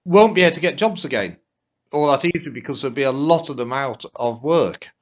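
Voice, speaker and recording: A man with a medium-pitched voice puts questions as an interviewer. The recording is somewhat dull and lo-fi, made for a phone interview. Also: animated, a little fast. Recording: muffled, low-fidelity interview recording.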